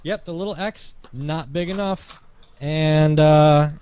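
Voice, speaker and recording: A man's voice: on the high side, takes it slowly, darkish tone, smooth, lo-fi sound. A man chatting casually as a panelist, with a wry, self-deprecating sense of humour. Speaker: panelist, video creator. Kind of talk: casual chat.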